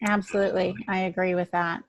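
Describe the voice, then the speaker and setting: A woman's voice: lowish voice, little pitch movement, fast talker, poor audio. A woman chatting casually as a co-host on a podcast.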